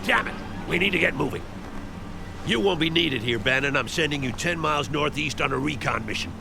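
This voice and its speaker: A man playing a gruff, hot-tempered army officer with a gravelly voice in a game cutscene. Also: on the high side, expressive, brisk, over music. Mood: brusque, commanding. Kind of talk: video game cutscene acting.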